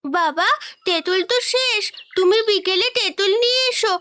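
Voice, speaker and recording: A woman's voice: very high-pitched, normal speed, sharp tone. A woman voicing a caring little village girl in a cartoon fairy tale. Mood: eager, caring. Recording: music bed under the voice.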